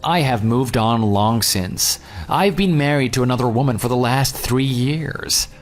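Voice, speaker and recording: A jilted former groom, played by a man, telling his story in an audio drama. He is dry, sarcastic and unyielding, with a medium-pitched voice. Light music sits underneath. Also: a little slow, lightly acted. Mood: dry, dismissive. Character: jilted ex-fiance, sarcastic, unyielding, self-assured. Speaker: narrator and lead character. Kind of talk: audio drama dialogue and first-person narration. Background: background music.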